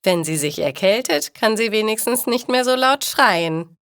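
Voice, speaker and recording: A woman's voice: medium pitch, expressive, unhurried, fairly bright tone, no echo, pitched above the performer's own voice. Woman narrator as good-humoured young man, storybook dialogue, friendly, emphatic, dry sound. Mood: amused.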